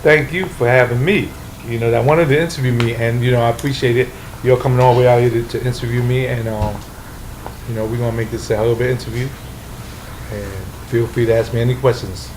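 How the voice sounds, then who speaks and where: A man's voice: medium pitch, fairly even intonation, fast talker, a little mellow, slight background noise, low-quality recording. A man from the Bronx with a New York accent, speaking bluntly and casually as the guest in an interview at home. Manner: blunt, street-talking, emphatic.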